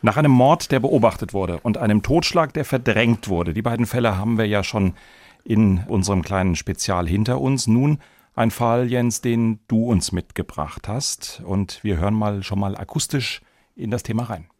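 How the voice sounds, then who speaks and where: A man's voice: fairly low pitch, moderate pace, a little mellow, no echo. A man hosting a podcast in a scripted, presenting style. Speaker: podcast host.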